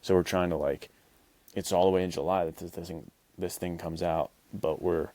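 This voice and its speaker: A man's voice: deep voice, fairly even intonation, normal speed, dark tone, dry recording. A man hosting a podcast in casual chat, talking in a rambling, encouraging way peppered with "like". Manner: rambling, encouraging, heavy use of "like". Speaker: podcast host.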